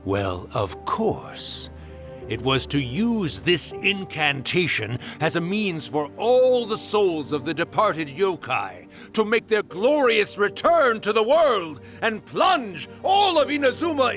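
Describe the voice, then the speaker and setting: Menacing author spirit, game, slow, wide-ranging and gloating. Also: male voice, sits high, big pitch swings, exaggerated voice. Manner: theatrical, gloating, swings from hushed to grand. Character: scheming male spirit of a dead author, theatrical and menacing. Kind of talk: video game voice acting. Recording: game audio with loud music.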